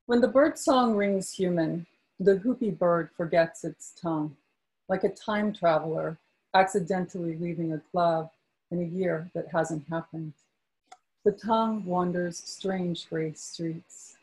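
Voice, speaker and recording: A woman poet reads aloud in a slow, soft-spoken way, chatting casually between poems. Her voice is dark and mellow, a little husky, and sits slightly low. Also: poor audio. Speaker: poet at a book launch. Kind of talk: poetry reading with casual asides.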